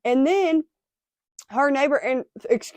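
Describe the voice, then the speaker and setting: A woman's voice: high voice, animated, slow pace, darkish tone, no echo. A woman giving emphatic, casual true-crime commentary, still congested after being sick. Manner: emphatic, repeats phrases.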